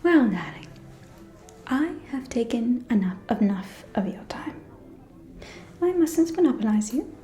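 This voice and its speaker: A woman acts out a sly vampire noblewoman for an ASMR roleplay. She whispers in a mellow, higher-set voice and takes her time over each insinuation. She sounds solicitous and conspiratorial. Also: sing-song, soft background music. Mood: coy, inviting. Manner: intimate whispering, drawn-out, honeyed, insinuating.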